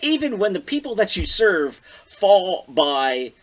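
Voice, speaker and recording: Male American co-host, casual bar-table chat, slightly high voice with very animated intonation. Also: a little slow. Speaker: podcast co-host. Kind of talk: casual chat over a beer.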